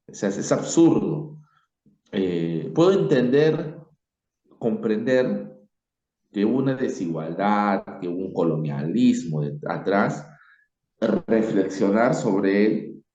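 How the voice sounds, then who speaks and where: Peruvian man, low dark voice, reflective informal talker, halting with emphatic stresses. Dull room sound. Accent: Peruvian Spanish. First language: Spanish. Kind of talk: informal spoken opinion on history. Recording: dull, echoey room recording.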